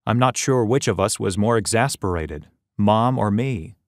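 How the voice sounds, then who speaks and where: A man's voice: lowish voice, expressive, moderate pace, dry recording. Man narrating an audiobook, dry close sound. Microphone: close studio microphone.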